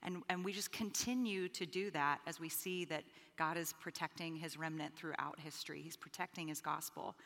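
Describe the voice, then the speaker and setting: A woman's voice: deep voice, steady tone, moderate pace, hardly any pauses, a bit bright. A woman from the Bahamas, a guest on a live podcast, speaking in an earnest, emphatic way. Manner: emphatic, earnest, repeats words. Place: conference stage with hall. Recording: live hall reverb.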